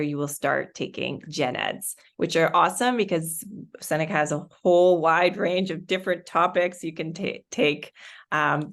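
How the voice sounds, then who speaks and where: A woman moderating an online student panel, upbeat and explaining things clearly. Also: fairly low pitch, fairly bright tone.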